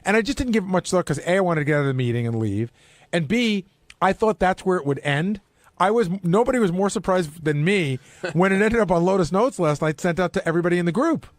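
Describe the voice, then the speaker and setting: Fast-talking man, dry studio sound. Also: high voice, lively intonation, dry recording. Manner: conversational storytelling, acting out quoted exchanges. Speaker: radio show staff member. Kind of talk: casual panel discussion.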